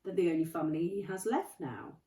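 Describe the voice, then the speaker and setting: Calm old wizard, read by a woman, gentle and unhurried, mellow and lively, heard from a distance. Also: fairly low pitch, lightly acted. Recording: echoey room, distant mic.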